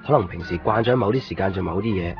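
A man playing a gentle, reflective dog owner who narrates his own story in a radio drama. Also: sits low, fast, vocal fry. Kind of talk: radio drama dialogue and first-person narration. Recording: muffled lo-fi radio recording, music behind.

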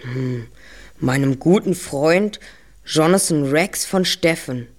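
A woman's voice: sits low, takes it slowly. A woman playing a precocious, self-assured boy detective in an audio drama, articulate and formally polite. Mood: polite, probing. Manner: articulate, polite, somewhat pompous wording. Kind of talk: audio drama dialogue acting.

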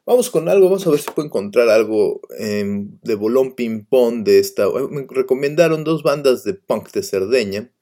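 A man's voice: medium pitch, lively intonation, normal speed, few pauses, mellow. A man hosting a radio show in casual, rambling talk, full of hesitations and fillers. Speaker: radio host.

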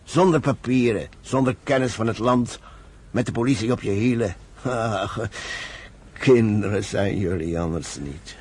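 An actor playing a cautious older rabbi in a radio drama, speaking gravely and deliberately as he counsels and admonishes. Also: lowish voice, darkish tone. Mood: incredulous, admonishing. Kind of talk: Dutch radio drama acting. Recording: noisy old radio play recording.

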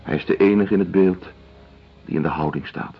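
A male actor in a radio drama playing a weary, detached doctor and writer, speaking in a measured, deliberate way. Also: low-pitched, soft background music, lightly acted. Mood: grave, observant. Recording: dull lo-fi radio play recording.

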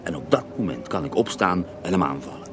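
A man playing the ghost of a murdered Russian mystic monk in a radio play, recounting his tale in an unhurried, wry, theatrical way. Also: sits low, lively intonation, noisy background. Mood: dramatic recounting. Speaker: interviewed storyteller in a radio play. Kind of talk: radio drama acting, intimate first-person recounting.

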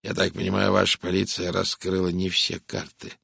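Мужчина играет добродушного американца, приглушённый низкий голос. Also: male voice, sits low, completely flat delivery, normal speed, gravelly, slightly rough, no room sound, clean studio sound, exaggerated voice. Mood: confiding. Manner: hushed, confiding, hesitant. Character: amiable, cautious middle-aged American husband. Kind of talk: audiobook character voice.

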